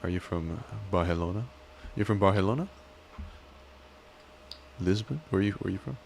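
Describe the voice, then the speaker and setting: A man chats on a live stream, wandering from joke to joke and teasing himself along the way. His voice sits very low. A faint hiss runs under the recording. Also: moderate pace, creaky, a little mellow. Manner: rambling, joking, self-deprecating. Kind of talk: casual live-stream chat.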